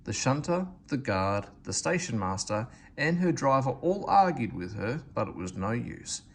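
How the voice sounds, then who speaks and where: A man reading a children's storybook aloud in an expressive narrating style. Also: medium pitch, moderate pace. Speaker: storybook reader. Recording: faint background noise.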